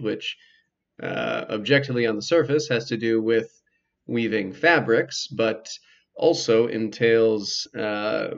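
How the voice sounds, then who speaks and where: Casual man with a gravelly, crackly voice. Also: medium pitch, dull audio. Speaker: game player narrating a playthrough. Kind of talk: casual game commentary.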